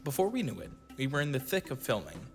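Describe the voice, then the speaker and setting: A man narrates a scripted personal story as an audio drama narrator. His intonation is lively and varied, and there is faint music behind him. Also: mid-range voice, fast, big-room sound.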